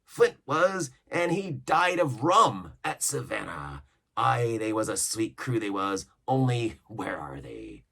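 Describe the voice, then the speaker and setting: A scheming older cook with a gravelly growl, as a man reads him aloud. He blusters and drops to rough whispers, the pitch swooping widely. The voice stays husky and low. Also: sing-song, slow pace, fairly bright tone, heavily acted. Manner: growling, theatrical, shifting between bluster and whispers. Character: scheming one-legged pirate cook, older man, cunning.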